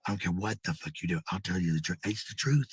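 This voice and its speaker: An American man with a husky, gravelly voice rambling casually to livestream viewers, blunt, sweary and given to repeating phrases. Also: sits low, animated, fast talker, no echo. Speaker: live streamer.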